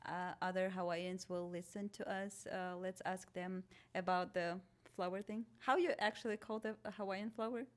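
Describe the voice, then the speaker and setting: A woman's voice: on the low side, fairly even intonation, normal speed, few pauses. Woman hosting a casual interview. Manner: brief prompts and frequent laughter. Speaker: podcast host.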